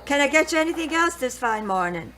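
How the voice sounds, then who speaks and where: An actress playing a chirpy diner waitress in a radio drama, with cheerful service patter. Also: female voice, high-pitched, very animated, a little fast, sharp tone, heavily acted.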